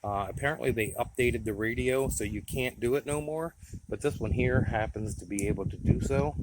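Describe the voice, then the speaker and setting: A man's voice: mid-range voice, moderate pace, noisy background. An American man giving a casual, plain-spoken, folksy demonstration of his gear. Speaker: radio hobbyist presenting his gear.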